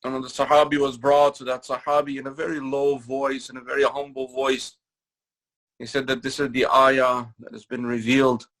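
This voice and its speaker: Gravelly-voiced man giving a mosque lecture. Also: medium pitch, little pitch movement, fairly bright tone, poor audio. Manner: measured, repetitive phrasing, recites Quranic Arabic between English explanations. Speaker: imam giving a Ramadan lecture. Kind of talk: sermon-style religious lecture.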